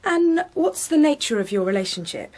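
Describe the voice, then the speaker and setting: Policewoman, radio drama, formal, questioning, polite, higher voice, lively intonation. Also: moderate pace. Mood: polite, probing. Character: polite, probing policewoman on official business.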